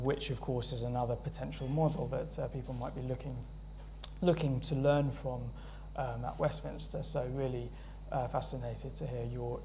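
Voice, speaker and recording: A man's voice: mid-range voice, a little mellow, slight background noise. A British man chairing a panel event, introducing speakers in a friendly, hesitant way with many fillers.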